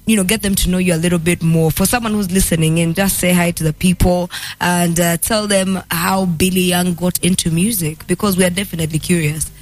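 A woman with a Ugandan accent hosting a casual radio interview, using animated, slangy interjections. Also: on the low side, fairly quick, hardly any pauses. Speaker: radio host.

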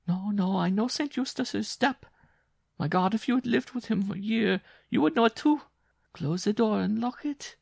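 A man's voice: high voice, moderate pace, gravelly, no room sound, studio quality, pitched above the performer's own voice. A man voicing a terrified wife in an audiobook story, sobbing and imploring. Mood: terrified, pleading. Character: frantic pleading circus woman, terrified wife.

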